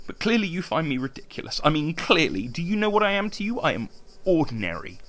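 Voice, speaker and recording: An actor playing an anguished, bitter young playwright in love in an audio drama, self-lacerating and sarcastic, with halting repetitions. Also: male voice, on the high side, big pitch swings, a bit bright. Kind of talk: naturalistic audio drama acting.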